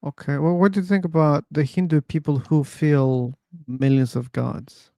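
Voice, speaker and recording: Male stream host, gravelly and mellow, pushes guests with pointed questions, dry sound. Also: a little high, fairly even intonation, normal speed, dry recording. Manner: probing, teasing questioner. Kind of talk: casual debate chat. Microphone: streaming setup.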